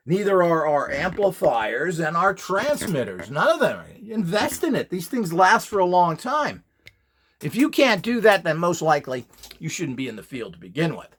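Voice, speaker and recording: Blunt, highly animated man lecturing. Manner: blunt, scornful, emphatic; rhetorical questions, mimicked quotes. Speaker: presenter and seller of radionics devices. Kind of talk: informal opinionated lecture to camera.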